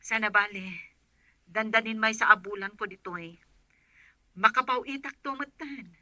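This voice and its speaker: Inang matalas ang boses. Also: female voice, a little high, unhurried, pushed and bright, dry recording. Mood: caring, relieved. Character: anxious, affectionate mother calling from abroad. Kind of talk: Ilocano radio drama acting.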